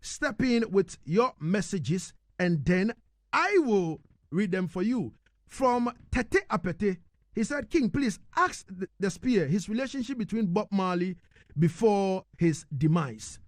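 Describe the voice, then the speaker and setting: High-pitched, animated male radio host. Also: slow, no echo. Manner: emphatic, repeats "you know what I mean". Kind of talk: live radio interview, reading listener messages.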